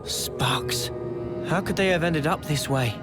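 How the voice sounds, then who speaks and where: An actor playing an earnest, thoughtful young soldier in a video game cutscene. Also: male voice, on the high side, takes it slowly, over loud music. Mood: troubled.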